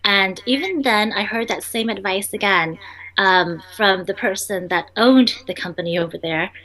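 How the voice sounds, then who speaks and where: A woman's voice: medium pitch, moderate pace, bright, forceful tone, poor audio. A young woman entrepreneur, a native Vietnamese speaker, answering interview questions in a chatty way full of ums and restarts.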